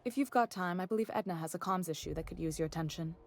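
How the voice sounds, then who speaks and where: An actress playing a weary, exacting middle-aged chief engineer of a space station in a video game, composed, dry and formal. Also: female voice, fairly low pitch, fast talker, soft background music, lightly acted. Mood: relieved. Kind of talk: video game dialogue acting.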